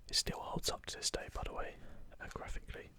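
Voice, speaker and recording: A man speaking in a breathy, unvoiced whisper, giving soft ASMR commentary as he hosts a video. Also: fast talker.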